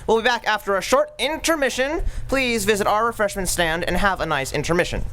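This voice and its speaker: A man hosting and announcing a live old-time radio show in a booming, theatrical manner. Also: animated, fairly quick, sharp tone, slight background noise. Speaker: host and announcer. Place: stage of a museum theater.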